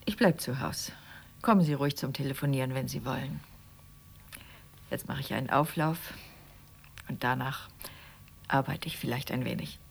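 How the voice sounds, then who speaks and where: An actress playing a stubborn, reproachful wife in a radio drama, speaking huskily in a matter-of-fact, chiding way. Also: female voice, a bass voice, moderate pace, long pauses, heavily acted. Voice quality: husky. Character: stubborn, reproachful wife, a frustrated would-be writer.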